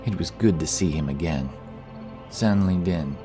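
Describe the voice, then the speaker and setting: A man narrates a fantasy audiobook in a low-pitched voice. The delivery is scripted storytelling. Music is plainly present behind the narration. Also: unhurried.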